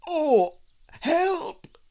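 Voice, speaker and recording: A man voicing a plump, innocent, greedy storybook bear with hesitant, plaintive, drawn-out speech. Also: a little high, expressive, a little mellow, pitched above the performer's own voice. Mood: distressed.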